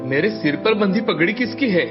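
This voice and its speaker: A man's voice: sits high, expressive, normal speed. A man voicing a shrewd clever young man. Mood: polite. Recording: dull lo-fi studio recording with background music.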